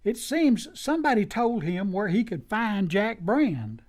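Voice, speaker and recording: An actor playing a folksy, loyal marshal's sidekick in a western radio drama, with rustic phrasing. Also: male voice, high-pitched, very animated, unhurried, darkish tone, full character voice. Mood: worried.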